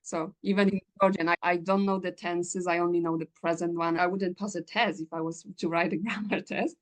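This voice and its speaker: A Polish woman hosting an English-learning podcast, chatting in a lively, encouraging way and laughing often. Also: on the low side, brisk, low-quality recording. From Poland. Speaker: English teacher and podcast host. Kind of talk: casual interview chat.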